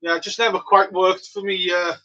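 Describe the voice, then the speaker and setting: A man's voice: high-pitched, fairly quick, lo-fi sound. A man with a Welsh accent, a native Welsh speaker, chatting casually with viewers while livestreaming his homebrew brewday. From Wales. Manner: relaxed, chatty, answers viewers. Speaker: homebrewer streaming live. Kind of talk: casual live-stream chat. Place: home brewery.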